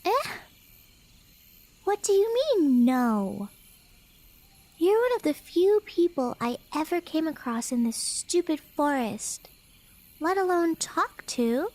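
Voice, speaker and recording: A very high, unhurried female cat beastkin for an ASMR roleplay. She is playful and clingy, teasing her listener with coy turns of phrase and slipping between soft whispers and speech. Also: big pitch swings, no room sound, full character voice. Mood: pleading. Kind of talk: flirty ASMR roleplay acting.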